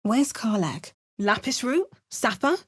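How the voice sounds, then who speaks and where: A woman's voice: fairly high pitch, sing-song, slow, slightly rough, full character voice. A woman voicing a cautious, sardonic adventurer in a fantasy game, delivering short in-game remarks. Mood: wry. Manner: dry, sardonic asides. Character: wry adventuring heroine, dry and cautious. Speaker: player character. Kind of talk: game voice lines.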